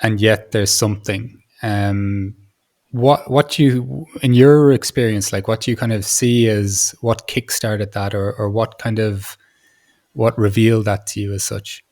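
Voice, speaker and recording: A man's voice: on the low side, fairly even intonation, unhurried, a little mellow. A man hosting a conversational interview, feeling his way through questions and often rephrasing as he searches for words. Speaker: podcast host, interviewer. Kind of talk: casual exploratory interviewing.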